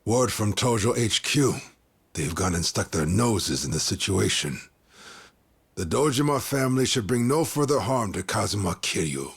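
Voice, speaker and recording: An actor playing a sly senior yakuza officer in a video game dub, gravelly and mockingly insinuating. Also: male voice, on the low side, expressive, normal speed, full character voice. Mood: dry, scornful.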